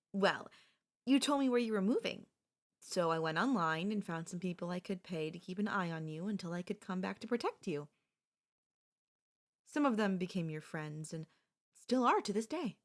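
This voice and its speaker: A young woman playing an obsessive, possessive kidnapper and childhood friend in an ASMR audio roleplay, speaking in a soft, intimate, pleading manner. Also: lowish voice.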